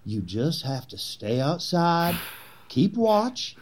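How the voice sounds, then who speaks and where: A man acting as a persuasive, grandiose Confederate conspiracy leader in an 1860s period drama, with theatrical, pleading oratory and emphatic pauses. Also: on the high side, big pitch swings, exaggerated voice. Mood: instructing.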